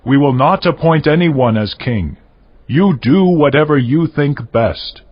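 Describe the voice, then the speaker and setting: Husky, frightened ancient city official. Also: male voice, fairly high pitch, animated, measured pace. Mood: submissive. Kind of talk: dramatized scripture audio drama. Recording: dull, muffled audio.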